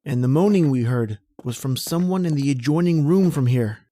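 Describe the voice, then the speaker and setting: A man's voice: medium pitch, normal speed, dark tone, slight background noise, close to an everyday voice. A man playing a determined young husband in an old-time radio horror mystery. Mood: tense, determined.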